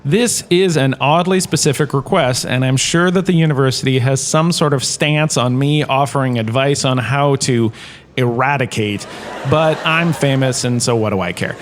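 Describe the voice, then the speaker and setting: A man's voice: medium pitch, normal speed, natural voice. Guy doing a smug has-been actor turned history prof, chatty and wry, audio drama comedy lecture. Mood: smug, casual. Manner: conversational, self-satisfied, wry asides. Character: smug, washed-up holodrama star lecturing as history professor.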